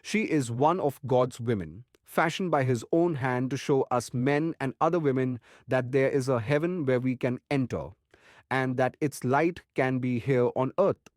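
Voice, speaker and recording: An actor playing a kindly, courtly old doctor in an audiobook, speaking formally and earnestly. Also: male voice, medium pitch, measured pace, darkish tone, no room sound, clean studio sound, natural voice. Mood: reverent praise. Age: older. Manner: earnest, courtly, formal, quaint foreign phrasing. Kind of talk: audiobook character acting.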